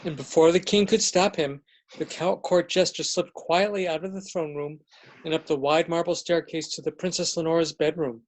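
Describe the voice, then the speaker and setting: A storyteller narrates a fairy tale from a script. The voice holds a medium pitch throughout the reading. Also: fairly even intonation, moderate pace, rough recording.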